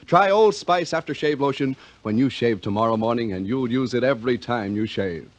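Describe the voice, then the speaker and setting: A man's voice: medium pitch, expressive, fairly quick. A man with an American accent announcing in a polished, emphatic 1950s radio style, reading scripted copy. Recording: vintage 1950 radio recording.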